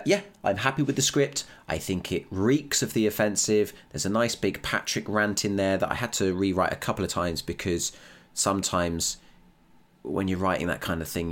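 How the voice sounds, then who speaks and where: A man with a low voice and a British accent talks off the cuff, like a casual audio diary. He is candid and self-deprecating about his writing, and swears freely. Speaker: podcast writer and creator.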